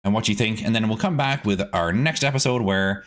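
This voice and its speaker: A man giving casual gameplay commentary as a streaming host, chatty and animated, rambling as he goes. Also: on the low side, a little fast.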